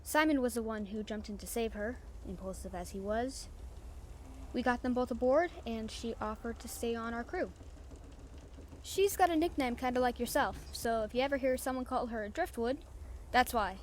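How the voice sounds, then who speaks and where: Audio roleplay pirate captain played by a woman: slightly high voice, salty, casual, confiding, warm-hearted, over faint background noise. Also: normal speed, natural voice. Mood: serious, confiding. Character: brash, warm-hearted female pirate captain, fond and teasing. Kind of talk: audio roleplay acting, chatting to the listener.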